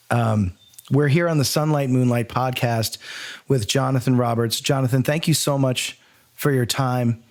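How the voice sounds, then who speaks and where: A man's voice: medium pitch, steady tone, measured pace, a little mellow. Male podcast host, mid-range voice, casual banter, wordy, playfully mock-formal.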